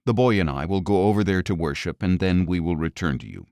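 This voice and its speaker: Man reading as deep, grave biblical patriarch Abraham. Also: fast, darkish tone, no room sound, studio quality. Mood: calm, obedient. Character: faithful, grave, obedient old father Abraham. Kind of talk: dramatic audio Bible reading.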